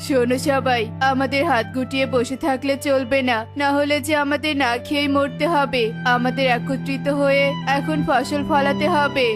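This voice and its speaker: An earnest father bird from a cartoon fable, played by a woman, high in pitch and flat in melody. He speaks seriously and pleadingly to the others over music. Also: normal speed, few pauses, natural voice. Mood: earnest resolve. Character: earnest hungry father bird rallying the flock.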